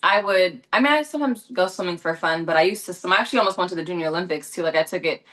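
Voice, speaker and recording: A young woman from Los Angeles talks through her answers in a loose, chatty way, circling back with fillers and restarts. Her voice sits a little low, and the tone is bright and forward. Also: very fast. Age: young adult. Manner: chatty, many fillers and self-corrections. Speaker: actress and guest. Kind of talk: casual interview answers.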